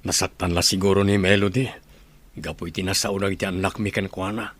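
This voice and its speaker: A man playing an earnest, gentle father in an Ilocano audio drama, speaking with an Ilocano accent. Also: low voice, little pitch movement, fairly quick. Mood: earnest, apologetic. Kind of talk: radio drama acting.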